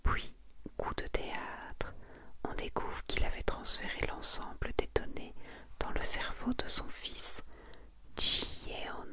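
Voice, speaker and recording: Lady doing asmr, all whispered, breathy n soft, chatty little asides. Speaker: host of an ASMR manga collection video. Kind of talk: whispered ASMR presentation, reading summaries and commenting.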